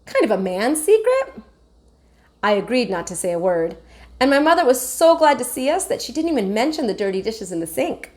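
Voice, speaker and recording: A woman teacher reading a children's chapter book aloud with expressive, animated storytelling. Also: on the high side, fast.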